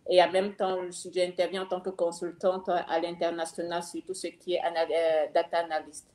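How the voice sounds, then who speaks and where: A middle-aged pastor's wife introduces herself over a video call. Her voice is somewhat low. She speaks haltingly, with frequent fillers and restarts, and the webcam audio sounds roomy. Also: little pitch movement, a little mellow. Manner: hesitant, many fillers and restarts. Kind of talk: guest self-introduction. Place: home room, video call. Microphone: webcam mic on video call.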